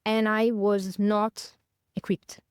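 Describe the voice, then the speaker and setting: A woman in her fifties from northern Italy, speaking English with an Italian accent. Her voice is smooth and resonant, and the recording is close and dry. She talks in a thoughtful, searching way, full of fillers and self-corrections. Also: mid-range voice, little pitch movement, slow, no echo. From northern Italy, near Milan. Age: 50s. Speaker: podcast guest. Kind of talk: reflective conversational interview.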